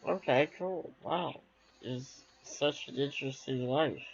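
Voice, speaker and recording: Slow, gravelly male interviewer. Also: mid-range voice, dry recording. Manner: hesitant, filler-heavy questions. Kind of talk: casual interview questions. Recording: slightly dull lo-fi mic.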